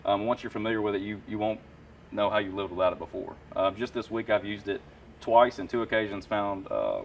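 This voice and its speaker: A man's voice: fairly low pitch, animated, a little fast, vocal fry, recorded from a distance, slight background noise. A man in his thirties, an HVAC technician, explaining tools in casual, enthusiastic shop talk with lots of fillers. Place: warehouse parts room with shelves.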